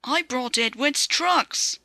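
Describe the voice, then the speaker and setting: A woman's voice: high voice, takes it slowly, dry recording, pitched above the performer's own voice, exaggerated voice. A woman voicing a proud, eager little tank engine in a storybook reading. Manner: eager, excitable.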